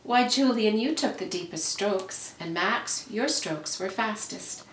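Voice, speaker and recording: A woman voicing a gentle, loving storybook mother who speaks with tender reassurance. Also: medium pitch, lively intonation, close to an everyday voice. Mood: praising, reassuring. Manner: tender, reassuring, unhurried praise. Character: gentle, loving mother of two young boys. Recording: roomy, noisy, distant microphone.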